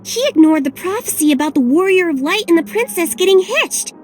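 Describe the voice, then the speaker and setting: A woman's voice: extremely high pitch, animated, a little fast, a little mellow, a little hiss or hum. A woman voicing a tiny, teasing fairy companion in a video game, playful and theatrical. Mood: nosy, exasperated.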